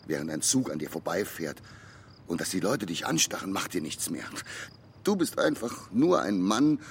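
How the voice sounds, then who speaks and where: Low-voiced, brooding old river skipper. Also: male voice, a little hiss or hum. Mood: brooding, wistful. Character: weathered male barge skipper, ex-crook, gruff but kindly. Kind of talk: German audio drama acting, storytelling.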